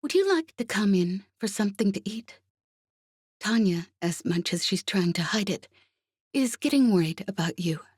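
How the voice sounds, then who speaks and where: A woman voicing a brisk, pleasant female comrade with quiet concern in an audiobook. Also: medium pitch, expressive, husky, no echo, studio quality, pitched above the performer's own voice. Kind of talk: audiobook character dialogue.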